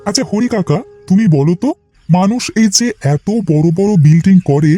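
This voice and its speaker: A man's voice: high-pitched, lively intonation, normal speed, warm tone, faint music behind. A man voicing a precocious, polite schoolboy with an earnest, knowing manner in a village cartoon. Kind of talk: Bengali cartoon dialogue.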